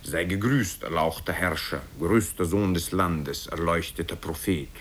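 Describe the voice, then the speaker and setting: A man voicing a fawning peasant petitioner in a satirical story, given to grand flattery and protest. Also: measured pace, husky, exaggerated voice. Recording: rumbling, noisy old radio tape.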